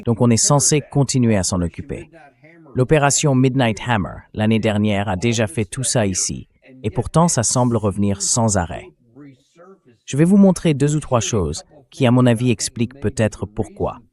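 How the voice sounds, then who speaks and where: A man hosting a political talk show, explaining his argument in a measured way as a synthetic dubbed read. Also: medium pitch, expressive, a little mellow. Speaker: host.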